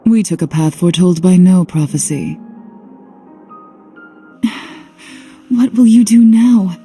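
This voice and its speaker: Low, mellow, melancholy witch. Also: normal speed, breathy edge, soft background music. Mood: anxious, sad. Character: wistful, guarded witch haunted by lost love. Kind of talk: dramatic video game voice acting.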